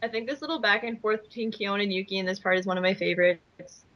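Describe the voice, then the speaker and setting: A woman's voice: medium pitch, steady tone, fast. Giggly woman on muffled call audio. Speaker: fandub voice actress. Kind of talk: casual commentary chat.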